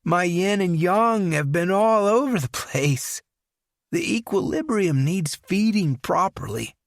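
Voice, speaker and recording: A menacing, unhinged young man purrs with false politeness in a put-on English accent, as a male reader plays him. His voice sits high and swoops about, slow and theatrical in this storybook reading. Every courtesy sounds like a threat. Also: expressive, no echo, clean studio sound, exaggerated voice. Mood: mocking menace.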